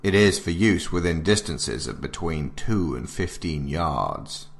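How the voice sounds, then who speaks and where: Man acting as grave military manual narrator, bass-low, creaky, measured, formal, instructive. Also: animated, exaggerated voice. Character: stern military handbook narrator, grave and authoritative. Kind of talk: scripted narration of an alternate-history field manual.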